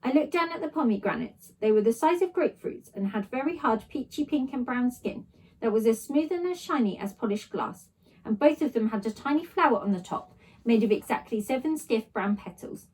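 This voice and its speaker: Woman, fairly high voice, expressive storybook narration, child's first-person telling. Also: fairly quick, slightly rough, rough recording. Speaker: story reader, teacher.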